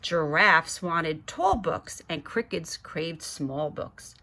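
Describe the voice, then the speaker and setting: A woman's voice: low voice, lively intonation, takes it slowly, bright, forceful tone, slight background noise. A woman reading a children's picture book aloud, speaking directly to young listeners with little asides as she goes. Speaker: guest storybook reader.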